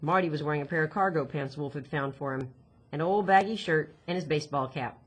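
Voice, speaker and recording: A woman's voice: low voice, a little fast, husky. A woman narrating a children's novel expressively, reading from the text. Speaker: audiobook reader, teacher. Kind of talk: expressive scripted story narration.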